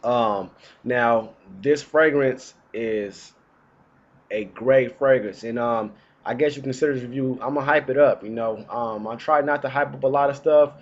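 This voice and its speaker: A man's voice: mid-range voice, a little slow, muffled recording. A man in his thirties recording a casual fragrance review vlog indoors while getting over a cold, chatty and slangy. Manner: laid-back, chatty, slangy. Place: indoors, small room.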